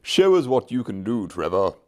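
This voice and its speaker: A man voicing a kindly, calm country vicar in a children's storybook, genial and measured. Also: fairly low pitch. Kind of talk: children's storybook character reading.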